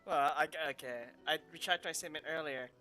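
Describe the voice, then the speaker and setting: Bright-voiced gamer commenting casually. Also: medium pitch, fairly quick. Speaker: gamer commenting on a story quest playthrough. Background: game music.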